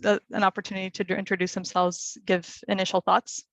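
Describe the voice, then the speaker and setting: A woman steers an online seminar on a video call, offering brisk, hedged suggestions. She talks quickly, and her voice sounds dry and close. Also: mid-range voice, level tone, creaky, a bit bright, no room sound. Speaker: seminar organizer. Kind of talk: facilitating online discussion.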